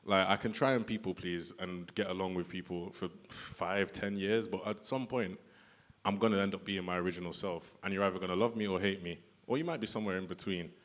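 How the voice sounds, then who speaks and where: Deep-voiced young man speaking earnestly. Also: steady tone, fairly quick, a bit bright. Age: young adult. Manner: earnest, casual, with many "like" fillers. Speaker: panel speaker. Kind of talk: reflective panel talk. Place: event hall with audience.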